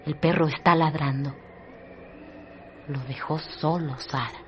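An actress playing a distant, hushed woman's voice in a radio drama dream scene. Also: sits low, fairly even intonation, slow pace, a little hiss or hum.

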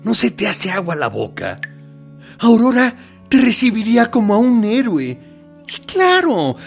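An actor playing a suave, menacing military interrogator in a radio drama, theatrical, falsely kind and persuasive as he coaxes and threatens. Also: on the high side. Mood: mocking, sly.

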